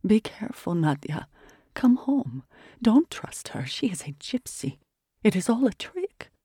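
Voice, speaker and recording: Urgent, worried mother acted by the reader, husky, higher and louder than the narration. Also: very animated, a little slow, no echo, studio quality. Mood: pleading. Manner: urgent, pleading. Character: anxious mother warning her daughter.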